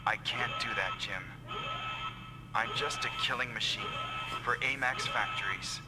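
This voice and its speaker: A man's voice: medium pitch, a little slow, close to an everyday voice. A man playing a weary, self-sacrificing android in a dramatic, solemn anime-style game dub. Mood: grave, remorseful.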